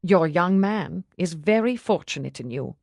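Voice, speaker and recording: A woman's voice: on the low side, expressive, moderate pace, creaky, darkish tone, no echo, clean studio sound. A woman voicing a proud, stiff male household servant with a formal, restrained manner, in an audiobook. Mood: proud, guarded.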